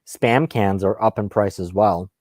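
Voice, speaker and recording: A man's voice: fairly low pitch, measured pace, darkish tone, dry recording. Guy livestreaming gun q&a, russian native speaker, kinda rambly and self-deprecating, dry close sound. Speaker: live stream host. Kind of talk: casual Q&A chat about guns.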